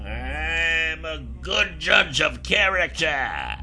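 A man's voice: a little high, sing-song, bright, forceful tone, voice pushed up, heavily acted. A man doing a hard, threatening soldier. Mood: menacing. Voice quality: hard, gruff. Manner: barking, menacing, slow and deliberate.

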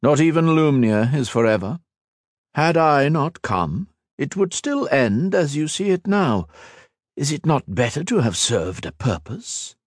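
A man's voice: fairly high pitch, very animated, a little slow, no echo, clean studio sound, pitched above the performer's own voice. A man voicing an ancient, arrogant cosmic being who talks down to mortals in a lofty, contemptuous way. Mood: disdainful. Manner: haughty, lofty, lecturing.

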